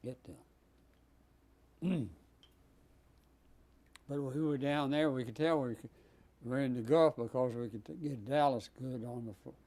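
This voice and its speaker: Elderly man in his nineties, mellow and dark-toned, unhurried and halting, pausing often with fillers, sometimes coughing. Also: mid-range voice. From Texas. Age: 90s. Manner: halting, many pauses and fillers. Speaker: Navy veteran guest. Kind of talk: oral history interview.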